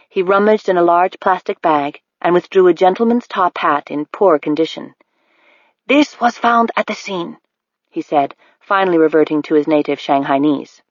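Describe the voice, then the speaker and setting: A narrator reads a novel in an even, steady storytelling voice at a middling pitch. The recording is dull and lo-fi. It is also completely dry, with no room echo. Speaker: audiobook narrator.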